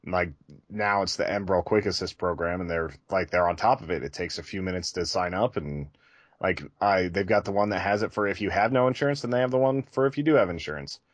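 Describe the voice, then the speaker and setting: A man's voice: low-pitched, fairly quick, dry recording. A man co-hosting a podcast, talking casually and candidly in a conversational, self-deprecating way. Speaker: podcast co-host.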